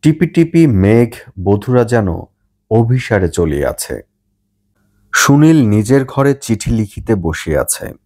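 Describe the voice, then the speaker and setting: A man's voice: mid-range voice, big pitch swings, slow, pauses often, a little mellow. A man narrating a literary short story for an audiobook from a script.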